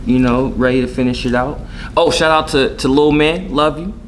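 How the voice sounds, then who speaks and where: A man co-hosting a casual interview, laid-back and quick to agree. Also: mid-range voice, a little hiss or hum. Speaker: co-host.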